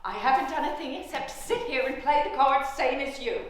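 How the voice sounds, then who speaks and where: A woman's voice: sits high, a little fast, close to an everyday voice. An actress playing a genteel older woman, patient but firm, folksy and mildly protesting in a naturalistic stage drama. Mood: flustered, protesting. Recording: very echoey room.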